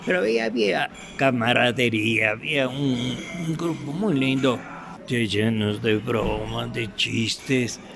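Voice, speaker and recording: Older male interviewee, Ecuadorian accent, retired radio actor. Gravelly, halting delivery, unhurried, over clear ambient noise. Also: medium pitch. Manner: halting, searching for words. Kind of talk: reminiscing in an interview.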